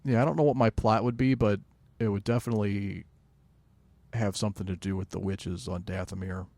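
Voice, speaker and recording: A man with a fairly low voice chats casually as a podcast co-host. He sounds laid-back and a little hesitant, thinking aloud, on a dry recording with little room sound. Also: steady tone, a little fast, a little mellow, no echo. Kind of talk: casual chat.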